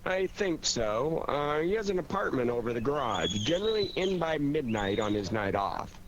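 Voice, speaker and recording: An actor playing a hesitant man under questioning in a 1940s radio drama, answering haltingly. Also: on the high side, very animated, muffled recording. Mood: guarded. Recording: slightly noisy old 1940s radio recording.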